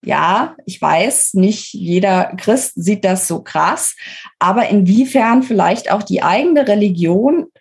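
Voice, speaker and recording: A woman of medium pitch speaks. She explains her points as an interview guest, articulate and emphatic, while keeping the wording colloquial. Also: expressive, slow pace, gravelly, darkish tone. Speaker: guest author interviewed about her book. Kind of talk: conversational podcast interview, explanatory.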